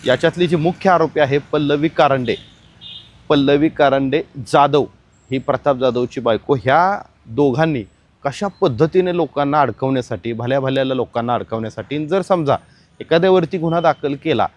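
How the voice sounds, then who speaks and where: A man's voice: medium pitch, moderate pace, lo-fi sound. A man presenting explanatory crime news commentary, emphatic and speaking straight to the audience.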